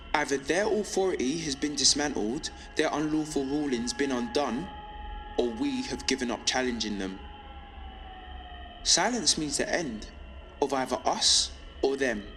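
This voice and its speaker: An actor playing a defiant, lonely young male rebel on pirate radio in an audio drama, speaking intensely and deliberately in short rhythmic phrases. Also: on the high side, bright, forceful tone. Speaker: underground radio broadcaster. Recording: reverberant space with background noise.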